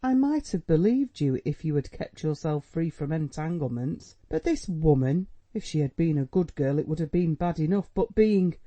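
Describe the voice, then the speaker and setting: A man's voice: fairly high pitch, expressive, normal speed, few pauses, mellow, close to an everyday voice. A man reading a novel aloud, voicing a proud, anxious middle-aged mother who scolds her son and pleads with him. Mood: scolding. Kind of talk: audiobook dialogue reading.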